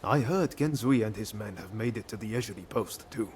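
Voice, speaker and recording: A man's voice: fairly low pitch, lively intonation, brisk, a little mellow, a little hiss or hum, lightly acted. A man playing a cocky, easygoing samurai schemer in a video game, breezy and self-satisfied. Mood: eager. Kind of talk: video game cutscene acting.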